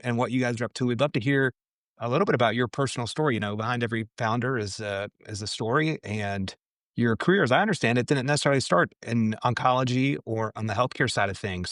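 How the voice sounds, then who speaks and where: A man co-hosting a podcast, opening the interview with friendly, quick questions. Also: medium pitch, a bit bright, dry recording, clean studio sound. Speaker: podcast co-host.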